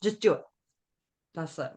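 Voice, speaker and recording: A woman's voice: unhurried, warm tone. A woman chatting casually as a podcast guest, speaking emphatically and encouragingly, often voicing her own thoughts as quotes. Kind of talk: casual chat.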